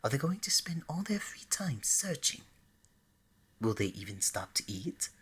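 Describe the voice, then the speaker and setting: A woman's voice: very deep voice, very animated, moderate pace, slightly rough, full character voice. A woman playing a flirty, scatterbrained god of love in an ASMR romance roleplay, speaking to the listener in a playful, coaxing way. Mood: sheepish, deflated. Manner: playful, coaxing, bubbly and hesitant by turns.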